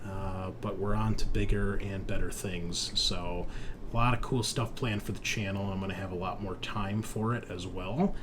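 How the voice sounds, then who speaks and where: Low-voiced man hosting, audible background noise. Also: fairly even intonation, moderate pace. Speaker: host and game master of a tabletop roleplaying show. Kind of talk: casual podcast chat.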